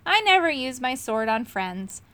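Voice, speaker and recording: A woman's voice: high voice, big pitch swings, a little slow, fairly bright tone, dry recording, heavily acted. A woman voicing a kindly male knight who speaks mildly and reassuringly.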